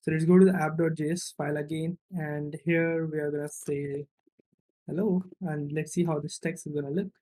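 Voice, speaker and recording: A man's voice: a little high, flat delivery, moderate pace, dark tone, rough recording. A man giving an unscripted, step-by-step programming tutorial, thinking aloud as he works and often checking in with a short questioning tag. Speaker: coding tutorial instructor.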